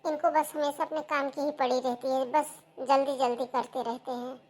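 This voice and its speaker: A woman's voice: sits high, level tone, normal speed, darkish tone, natural voice. A woman voicing a whiny young daughter-in-law in a cartoon who complains and pleads. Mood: complaining. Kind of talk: cartoon voice acting.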